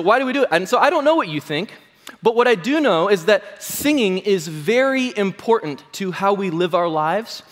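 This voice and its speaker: A male pastor with a hoarse voice delivers a casual, rambling sermon, dotted with "um" and "like". His voice sits a bit high for a man. Long reverb from the church hall trails behind every line. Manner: chatty, self-deprecating, full of fillers.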